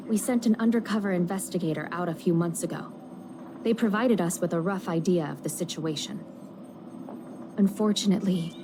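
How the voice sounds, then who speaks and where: A woman playing a composed official who gives mission briefings in a video game, measured, formal and businesslike. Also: medium pitch, lightly acted. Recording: game music behind the voice.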